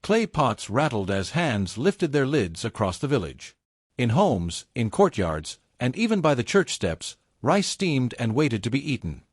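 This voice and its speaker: A man's voice: medium pitch, big pitch swings, husky, no echo. Solemn male storyteller, dry close sound. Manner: solemn, portentous, measured short phrases. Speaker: storyteller, narrator. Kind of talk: scripted folktale narration.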